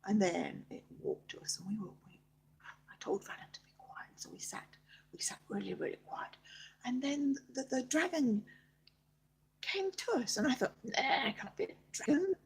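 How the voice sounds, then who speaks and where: A woman improvising a bedtime story in a halting, childlike, theatrical way, with a breathy, husky voice that often repeats itself. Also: on the high side, sing-song, whispered, poor audio. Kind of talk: improvised bedtime story, told in character as part of it.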